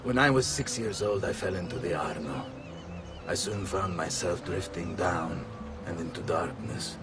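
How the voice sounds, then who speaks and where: A man's voice: low-pitched, normal speed, close to an everyday voice. An actor playing a dignified Florentine nobleman in a video game, solemn and formal, with an Italian accent. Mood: solemn, reflective. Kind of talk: dramatic video game acting. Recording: music behind the voice.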